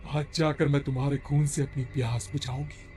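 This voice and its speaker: A man voicing a bloodthirsty female witch in a cartoon horror story, gloating and cackling in slow menace. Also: medium pitch, little pitch movement, a little mellow, faint music behind, close to an everyday voice. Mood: menacing.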